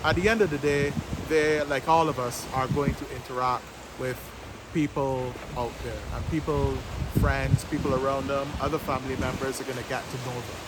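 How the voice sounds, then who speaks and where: A man in his fifties giving an informal explanatory talk to camera outdoors, speaking deliberately in short, halting phrases. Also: medium pitch, steady tone. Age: 50s. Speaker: vlog host, business owner. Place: outdoors by the sea, west coast of Barbados. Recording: loud wind noise on microphone.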